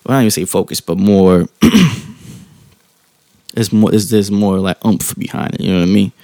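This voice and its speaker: A man with a low, mellow, soft-spoken voice talks casually and calmly, thinking aloud, rephrasing as he goes and checking in with the listener in informal slang. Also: dry recording, clean studio sound. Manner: calm, informal slang, halting rephrasing with frequent tag questions. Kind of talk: casual reflective monologue.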